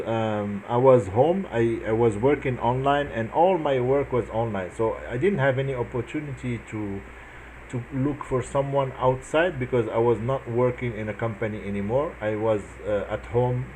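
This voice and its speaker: A man's voice: mid-range voice, darkish tone, a little hiss or hum, low-quality recording. A man in his forties from Morocco, earnestly telling in a casual chat how he met his wife, with many restarts and fillers. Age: 40s. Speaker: vlogger answering his wife's questions.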